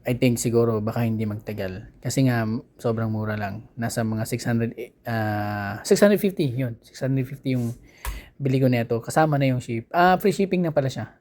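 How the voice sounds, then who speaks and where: A man with a Filipino accent giving a casual product review in a conversational, halting way, often searching for his words. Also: mid-range voice, a little mellow. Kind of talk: casual unboxing and review talk.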